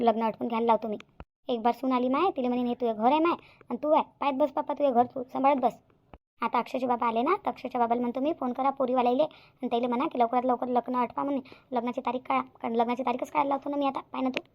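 A woman voicing a chatty, complaining middle-aged village mother in a cartoon, with a Varhadi Marathi accent. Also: sits high. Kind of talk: cartoon voice acting.

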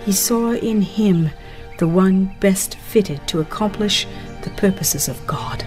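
A woman's voice: medium pitch, slow pace, darkish tone, faint music behind. A woman narrating a religious book in a solemn, formal, dramatized reading. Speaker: audiobook narrator.

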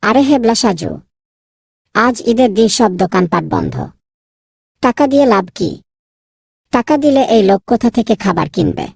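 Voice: medium pitch, lively intonation, unhurried, gravelly, long pauses, husky, no echo, studio quality, heavily acted. A performer voicing a kind, bold young boy in a children's cartoon, insistent and polite to elders. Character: kind, bold young boy Nilu, bossy with his friend. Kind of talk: children's moral cartoon dubbing.

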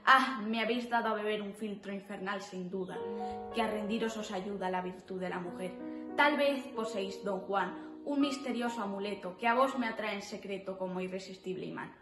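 A woman with a medium-pitched voice recites impassioned old stage verse. The room is echoey, and music plays clearly underneath. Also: fairly quick. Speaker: poetry reciter. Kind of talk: theatrical recitation of romantic verse drama.

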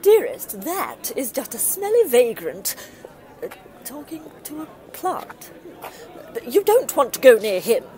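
A woman's voice: high-pitched, big pitch swings, a little slow, darkish tone, a faint crowd. Haughty, swooping, doting rich mother. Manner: haughty, gushing, theatrical. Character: snobbish, doting wealthy mother, imperious and indulgent. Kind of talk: audio drama acting.

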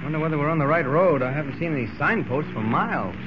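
A noisy, dull old radio recording holds a man acting a courteous reporter. His voice is fairly high and moves freely, though the temper stays calm. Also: fast, lightly acted. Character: calm, polite male newspaper reporter. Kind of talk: 1940s radio drama acting.

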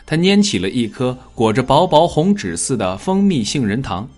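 A man narrating a dramatic scripted story in a measured, expressive storytelling manner. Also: medium pitch, soft background music. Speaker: audiobook narrator.

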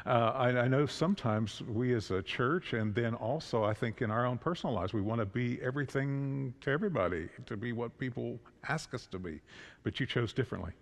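Pastor chatting in a reverberant church. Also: male voice, mid-range voice, fairly quick. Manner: conversational, standing and gesturing. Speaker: pastor interviewing a guest. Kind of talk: church service interview. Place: church sanctuary. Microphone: stand mic. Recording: long reverb of a church hall.

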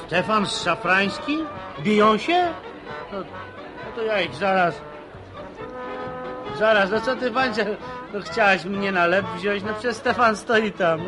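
High-voiced cocky village lad. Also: male voice, expressive, takes it slowly, music in the background, a crowd in the background, rough recording. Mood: excited, eager. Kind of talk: Polish radio drama acting.

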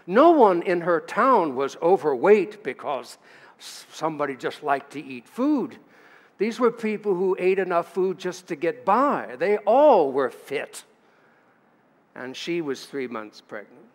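Older pastor preaching in reverberant church. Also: male voice, fairly high pitch, big pitch swings, unhurried. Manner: storytelling, reading scripture aloud with asides. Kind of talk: sermon. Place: church sanctuary at a lectern. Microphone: lectern mic. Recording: church livestream with long reverb.

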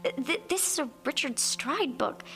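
A woman's voice: sits high, lively intonation, normal speed, pushed and bright, faint music behind, exaggerated voice. A woman playing a timid, sheltered ten-year-old girl in a radio drama, hesitant and earnest. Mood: nervous. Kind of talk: radio horror drama acting.

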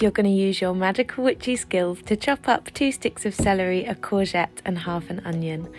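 A young woman from England, hosting a foraging and cooking video with bright, enthusiastic, gently playful how-to narration. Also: medium pitch. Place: woodland outdoors, then wooden cabin kitchen.

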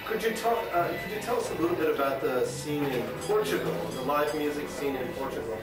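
Male interviewer, halting and filler-heavy, recorded from a distance in a very echoey room, music in the background. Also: a little high. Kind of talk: casual interview.

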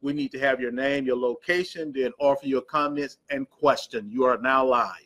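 A man's voice: mid-range voice, moderate pace, fairly bright tone. A middle-aged man hosting a live call-in show with polite, formal phrasing.

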